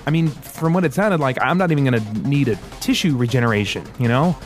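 A man's voice: mid-range voice, quick pace, soft background music, close to an everyday voice. A man voicing a nervous, wisecracking young fugitive hero in an audiobook, stammering and bargaining in a casual way. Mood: nervous bluffing. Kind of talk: audiobook character voice.

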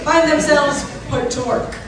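A woman's voice: high-pitched, fairly even intonation, measured pace, noisy background. A woman narrating a comic radio serial as its announcer, scripted and mock-dramatic. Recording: very echoey hall, audience, distant mic.

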